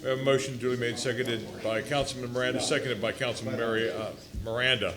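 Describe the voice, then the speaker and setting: A man's voice: medium pitch, fairly even intonation, fast, crowd noise. Hesitant meeting chairman with an audience. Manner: procedural, hesitant with fillers. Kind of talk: chairing council votes.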